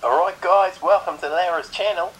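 A man's voice: high-pitched, a little slow, a bit bright, husky, rough recording. A man in his twenties speaking with a hammed-up drawl, heard through a phone on a video call. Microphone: video call played from a phone.

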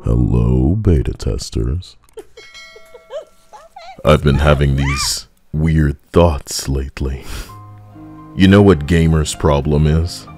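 A man's voice: extremely low pitch, slow, pauses often, darkish tone. A man giving casual, sardonic, rambling video game commentary as host, close on the mic. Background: music behind the voice.

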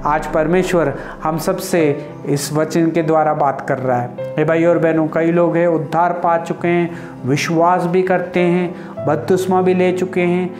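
A man's voice: on the high side, level tone, unhurried. A man in his thirties preaching with fervent energy and sweeping gestures, addressing brothers and sisters. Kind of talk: Hindi sermon. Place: indoor studio before printed backdrop. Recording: roomy sound with music underneath.

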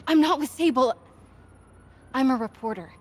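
A woman's voice: high voice, normal speed, a little mellow, breathy edge. An actress playing a young woman reporter sneaking around, with tense, urgent whispers. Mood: pressing. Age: young adult.

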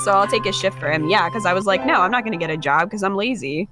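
A woman's voice: mid-range voice, fast, music in the background. Young woman reading a visual novel aloud. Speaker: gaming video co-host.

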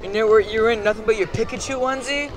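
A teenage boy staging loud prank phone calls in a public space. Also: sits high, quick pace. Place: school lunch area and gymnasium. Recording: noisy echoing public space, crowd chatter.

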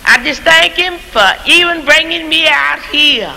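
A woman's voice: sits high, a little slow, vocal fry, pushed and bright, rough recording. A woman in her seventies, a church mother, giving a fervent testimony full of praise in a church, on an old tape recording. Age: 70s. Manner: fervent, exclaims praise often. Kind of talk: church testimony and gospel singing. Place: church sanctuary.

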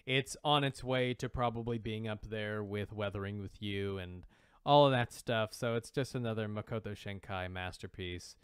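A man co-hosting a podcast in casual banter, animated and often repeating himself. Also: lowish voice, normal speed, clear, resonant tone, dry recording, studio quality. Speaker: podcast co-host. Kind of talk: casual banter about manga news.

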